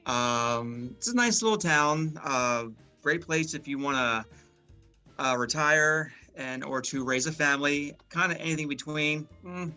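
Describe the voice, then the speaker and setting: A man's voice: on the high side, a little slow, bright, forceful tone, soft background music. A man, a guest chatting casually with many fillers and restarts. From Maryland, USA. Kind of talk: casual interview chat.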